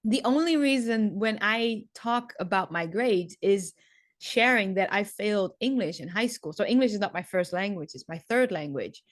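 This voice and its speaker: A woman's voice: mid-range voice, expressive, moderate pace, no room sound, studio quality. A young adult woman, a teacher, talking casually and reflectively, full of "like" and "um". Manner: chatty, loose, with frequent "like" and "um".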